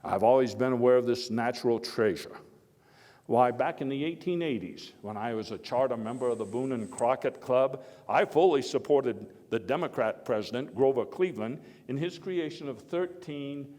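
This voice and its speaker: A man playing Theodore Roosevelt as a hearty, folksy elder statesman, giving a halting, oratorical first-person speech of reminiscence. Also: mid-range voice, a little mellow, natural voice. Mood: earnest, reflective. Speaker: historical reenactor giving a speech. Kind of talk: first-person dramatized historical speech, storytelling. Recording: echoey hall with long reverb.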